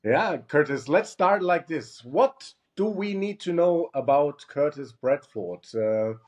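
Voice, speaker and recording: A man's voice: on the high side, expressive, takes it slowly, darkish tone. A German man hosting an interview in English, asking friendly, hesitant questions. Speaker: interviewer, host. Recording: dull lo-fi sound.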